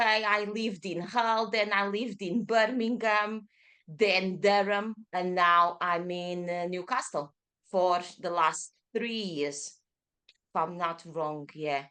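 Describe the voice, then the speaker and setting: Woman in her thirties, Portuguese accent, yoga teacher, chatty, mid-pitched voice. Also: fairly bright tone. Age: 30s. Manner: chatty, with frequent fillers and self-corrections. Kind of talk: casual interview chat.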